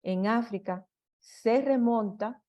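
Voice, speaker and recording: A woman's voice: fairly high pitch, takes it slowly, mellow, lo-fi sound. A woman reading a prepared history presentation aloud, stumbling and restarting on long technical words. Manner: apologetic about her Spanish.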